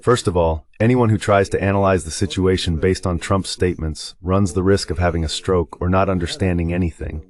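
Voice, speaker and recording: Low-voiced male political analyst. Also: fairly even intonation, fairly quick, few pauses, darkish tone.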